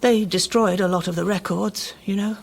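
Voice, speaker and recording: Husky, guarded older woman. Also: mid-range voice, steady tone, normal speed. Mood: regretful. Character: haunted, guarded older English woman hiding past. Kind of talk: radio drama acting.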